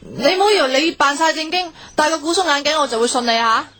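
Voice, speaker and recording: High-voiced, feisty Hong Kong woman. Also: fast. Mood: brisk, bossy. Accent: Hong Kong Cantonese. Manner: blunt, teasing, expressive slangy scolding. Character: feisty, sharp-tongued, bossy young woman in love. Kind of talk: radio drama acting. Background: background music.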